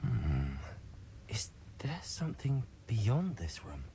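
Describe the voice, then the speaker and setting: An actor playing a brave, curious young student wizard in a video game. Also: male voice, mid-range voice, huge pitch swings, slow pace, gravelly, background noise, heavily acted. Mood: musing, hushed.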